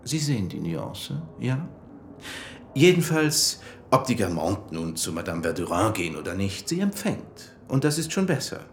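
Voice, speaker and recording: An actor playing a pompous, chummy society doctor who boasts in colloquial, emphatic German radio drama style. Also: male voice, on the low side, sing-song, normal speed, slight background noise. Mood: emphatic bragging.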